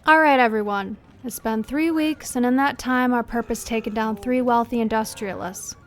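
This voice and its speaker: A woman's voice: fairly high pitch, moderate pace, natural voice. Commanding, caustic police boss played by a woman. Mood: impatient, caustic. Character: brisk, sarcastic, demanding woman police chief. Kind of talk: audio drama acting.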